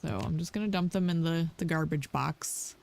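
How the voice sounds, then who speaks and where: A woman streaming a game, croaky today, talking casually and thinking aloud with lots of ums. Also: low-pitched, moderate pace, a little mellow. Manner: thinking aloud, many ums, chatty asides to herself. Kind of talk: casual gameplay commentary.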